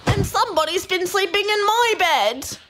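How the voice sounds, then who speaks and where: A motherly koala from a children's storybook. Her voice is extremely high, fussy and whiny, far above normal speech. Faint noise sits behind it. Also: expressive, normal speed, fairly bright tone. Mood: whining dismay. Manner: whining, cooing.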